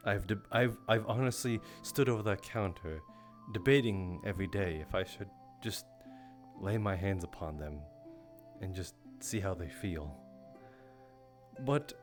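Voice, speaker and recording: A man doing improvised roleplay chat in a teasing, wry way, as a streamer in character. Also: sits low. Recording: music playing behind the voice. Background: music.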